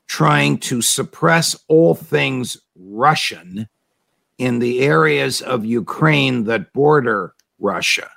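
A man with a heavily gravelly, creaky voice at an ordinary mid pitch, hosting an interview. He builds pointed, leading questions, laying out a chain of events before he presses his guest for an answer. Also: fairly bright tone. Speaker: interview host.

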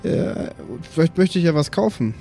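A man's voice: on the high side, lively intonation, moderate pace, warm tone. A man talking casually as a player in an improvised tabletop role-play game. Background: faint background music.

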